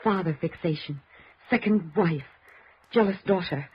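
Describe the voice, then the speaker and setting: Shaken, halting young radio-drama woman. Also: lowish voice, breathy edge. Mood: anxious, insistent. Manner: halting, breaking off and repeating words. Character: shaken, anxious young woman, grieving and suspicious daughter. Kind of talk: 1950s American radio drama acting. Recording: dull, noisy old radio recording.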